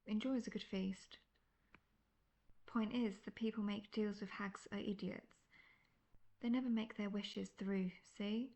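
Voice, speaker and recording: Casting: actress as veteran female monster hunter, wry and self-assured, creaky mid-pitched voice, fantasy audio roleplay. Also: steady tone, a little slow, pauses often, dark tone, lightly acted. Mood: scornful, wry. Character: seasoned, cocky, world-weary female monster hunter, friendly mentor.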